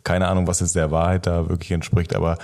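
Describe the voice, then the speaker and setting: A man's voice: low-pitched, completely flat delivery, fast, a little mellow. A young man from Germany chatting casually as a podcast co-host, colloquial and often tagging questions onto his phrases. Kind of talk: casual chat.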